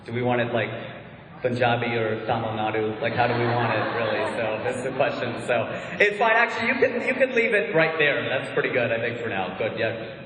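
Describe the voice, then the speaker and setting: A man's voice: mid-range voice, lively intonation, a little fast, crowd noise. A man from Ohio with a Midwestern American accent doing a chatty, self-mocking stand-up comedy set. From Ohio, USA. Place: large hall with audience. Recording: echoey hall.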